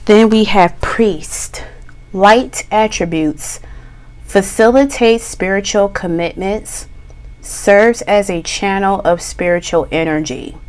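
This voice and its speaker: A middle-aged woman giving a tarot reading in a chatty, slangy way, a little hoarse from a cough. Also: mid-range voice. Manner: slow, relaxed, chatty with slang. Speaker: tarot reader. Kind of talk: pick-a-card tarot reading.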